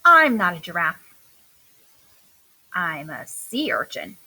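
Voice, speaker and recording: A woman's voice: lowish voice, huge pitch swings, slow pace, gravelly, sharp tone, slightly rough, pitched below the performer's own voice, heavily acted, low-quality recording. A woman voicing a playful, bluffing male prickly little creature in a children's storybook, with boastful, theatrical declarations. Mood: sly bluffing.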